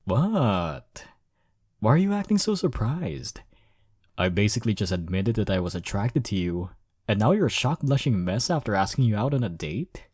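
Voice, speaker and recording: Deep-voiced, cocky flirtatious college rival acted by a man. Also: big pitch swings, moderate pace, breathy edge, no room sound, clean studio sound. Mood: playful, teasing. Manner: smug, flirtatious, drawling teasing with soft chuckles. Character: cocky, teasing male college rival smitten with listener. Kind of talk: intimate romantic audio roleplay.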